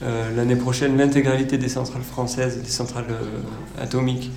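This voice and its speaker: A man playing a cautious, evasive town mayor, speaking haltingly and officially at a mock press conference for radio. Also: medium pitch, little pitch movement, creaky, lightly acted. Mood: cautious, resigned. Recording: roomy echo with faint noise.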